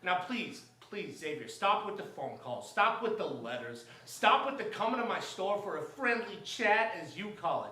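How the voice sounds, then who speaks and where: A man's voice: sits high, very animated, moderate pace, few pauses, pushed and bright, distant mic, heavily acted. A man playing an exasperated, stubborn rival shopkeeper with an emphatic, repetitive delivery in a radio drama. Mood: exasperated, defiant. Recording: echoey stage, off from mic.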